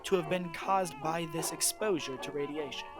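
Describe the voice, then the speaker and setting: A narrator reading a scripted newsreel segment in an even, informative manner. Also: mid-range voice, normal speed. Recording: narration over loud music.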